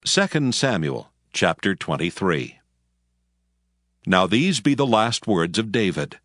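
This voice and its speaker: A man narrating a dramatized Bible reading in a measured, formal storytelling manner. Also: deep voice, big pitch swings, a bit bright.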